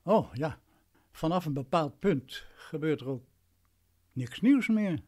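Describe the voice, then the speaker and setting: An actor playing a self-important, domineering lawyer in a radio play, patronising and imperious. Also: male voice, fairly high pitch, lively intonation, takes it slowly, warm tone. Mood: self-justifying.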